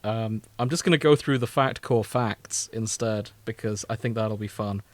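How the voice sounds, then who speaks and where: A man's voice: fairly low pitch, moderate pace, no room sound. A British man chatting casually as a podcast co-host.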